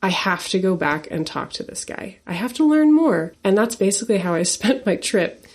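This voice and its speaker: A woman in her forties, a singer-songwriter guest, telling a personal story in an earnest, animated way with laughs and fillers. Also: mid-range voice, moderate pace. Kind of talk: storytelling interview talk.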